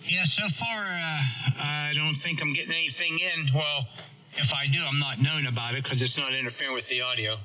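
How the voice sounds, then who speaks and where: A man chatting casually over amateur radio about technical matters in a halting way, as a radio operator. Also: on the high side. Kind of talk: casual ham radio chat. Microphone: heard over amateur radio. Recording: muffled amateur radio transmission with noise.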